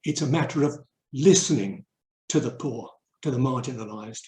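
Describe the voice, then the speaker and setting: An older man from England giving a reflective webinar talk, earnest and emphatic, with deliberate pauses. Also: medium pitch, warm tone. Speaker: urban mission speaker and theologian. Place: indoor room, online webinar. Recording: roomy, echoey webinar audio.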